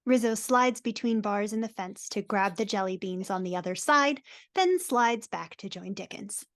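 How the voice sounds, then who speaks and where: A woman's voice: mid-range voice, expressive, normal speed. A woman reading stage directions as the narrator of a live dramatic reading.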